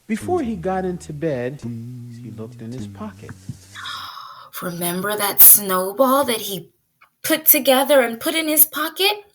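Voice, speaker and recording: A woman pre-K teacher giving an expressive read-aloud lesson, drawing out key words and putting guiding questions to young listeners. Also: medium pitch, soft background music. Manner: drawn-out emphasis on key words.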